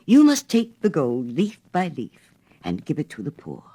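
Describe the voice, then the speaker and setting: Woman plays storybook prince statue: gentle, sorrowful, slow and solemn, with swelling intonation. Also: deep voice, huge pitch swings, slightly rough, full character voice. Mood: compassionate.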